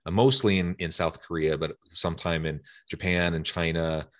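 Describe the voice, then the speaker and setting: A man with a very deep, low voice hosts an interview podcast. He sounds eager and conversational, often pausing on "um" and "uh" and restarting phrases as he talks. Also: fairly even intonation. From United States. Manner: enthusiastic, filler-heavy conversational hosting. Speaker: podcast host.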